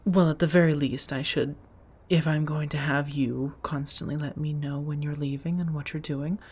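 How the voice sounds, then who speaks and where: A woman playing a gentle, guarded, centuries-old vampire roommate in a soft-spoken ASMR roleplay, with measured, formal phrasing and endearments. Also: deep voice, fairly even intonation, slightly rough. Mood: reassuring. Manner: measured, formal wording with endearments, hesitant confessions.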